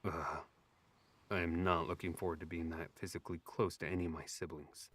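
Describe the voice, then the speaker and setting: A man's voice: deep voice, little pitch movement, brisk, fairly bright tone, dry recording. A man playing a wry, abrasive young elf nobleman in an audio drama, with a dry, sardonic delivery. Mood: reluctant, grumbling.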